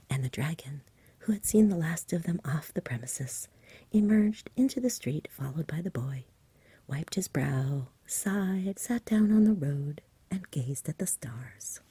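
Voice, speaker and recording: A woman reading in a gentle, soothing, unhurried ASMR bedtime-story style, very softly. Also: sits low, husky, no echo. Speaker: ASMR reader and host. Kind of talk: soft whispered ASMR bedtime story narration.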